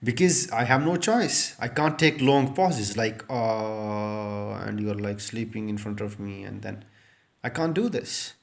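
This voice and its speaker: A man's voice: lowish voice, lively intonation, measured pace, creaky. A man in his thirties whose first language is Urdu, giving an unscripted speaking-test lesson into a phone held close, earnest and repetitive, full of fillers and restarts. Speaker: IELTS speaking tutor. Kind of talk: unscripted tutorial monologue to camera. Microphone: phone held close, front camera.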